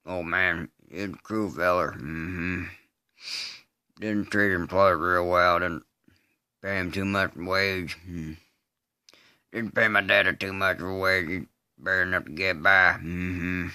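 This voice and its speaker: A man performing a film-style monologue as a slow, simple-minded rural killer, unrepentant, in a halting deadpan Southern US drawl with grunted hums. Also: deep voice, clean tone, no room sound, exaggerated voice. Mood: flat, matter-of-fact. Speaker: amateur voice artist. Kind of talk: dramatic monologue re-enactment of a film scene.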